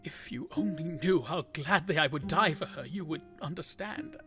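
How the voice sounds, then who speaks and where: Man acting anxious young gentleman, highish voice. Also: natural voice. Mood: fervent. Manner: breathless, earnest, halting. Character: anxious, devoted strong young gentleman in love. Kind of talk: audio drama acting. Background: guitar music.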